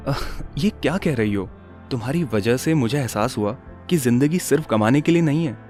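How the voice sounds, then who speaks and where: A man voicing an earnest young man in love in an animated story. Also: mid-range voice, moderate pace, faint music behind, close to an everyday voice. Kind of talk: animated story character acting.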